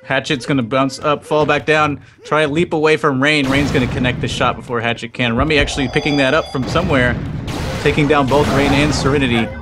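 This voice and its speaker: A man doing rapid play-by-play commentary on an online shooter match, his voice climbing when the action gets exciting. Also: medium pitch, noisy background. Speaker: esports commentator. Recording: music loud behind the voice.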